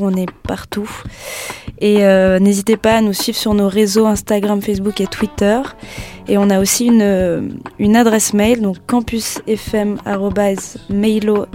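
A woman hosting a casual radio interview, soft-spoken and hesitant with many fillers. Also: mid-range voice. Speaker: radio host. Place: radio studio.

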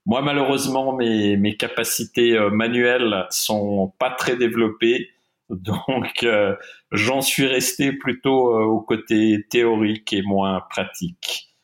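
Swiss guest, middle-aged man, low voice, hesitant with fillers. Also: unhurried. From Switzerland. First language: German. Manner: hesitant, many fillers and restarts. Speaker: watch industry guest. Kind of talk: interview conversation.

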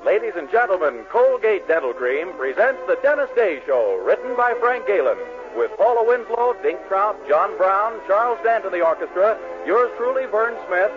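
High-pitched, animated 1940s American radio announcer, man. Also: moderate pace, few pauses. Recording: tinny 1940s radio broadcast, music behind.

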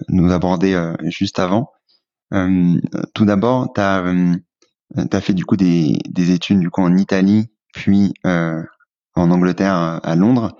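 Man, podcast host, dark mellow voice, hesitant, dry recording. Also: deep voice, no echo, muffled recording. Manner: hesitant when unscripted, many fillers. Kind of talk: interview hosting.

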